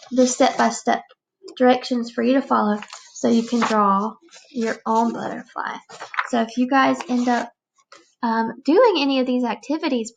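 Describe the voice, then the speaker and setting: A woman's voice: a little high, gravelly, a little hiss or hum, poor audio. A woman teacher speaking to young children in a read-aloud lesson, explaining things in simple phrases. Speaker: elementary school teacher.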